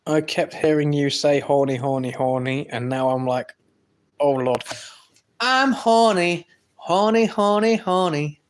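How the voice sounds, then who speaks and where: A man's voice: a little high, a little slow. An English man hosting a live stream, reading chat aloud and trading brash, teasing banter with viewers. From England. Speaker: livestream host reading chat.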